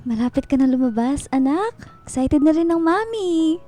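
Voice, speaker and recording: A very high, girlish voice over music as a devoted pregnant wife. She pleads with open emotion, in the heightened manner of radio drama. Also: expressive, moderate pace, warm tone. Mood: tender. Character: distraught pregnant young wife, forgiving and devoted.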